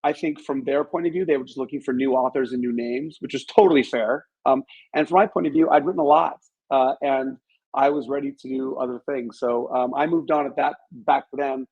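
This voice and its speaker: A man's voice: mid-range voice, steady tone, fairly quick, darkish tone, husky, dull audio. Reflective man telling stories in an interview. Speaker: interview guest, author. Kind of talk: conversational storytelling.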